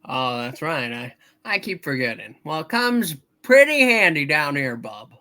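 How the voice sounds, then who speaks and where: Man doing a bright-voiced, troubled old-movie everyman. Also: fairly high pitch, big pitch swings, unhurried, heavily acted. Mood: sardonic. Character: troubled, skeptical, despairing everyman in old film. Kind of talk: hammed-up radio play reading.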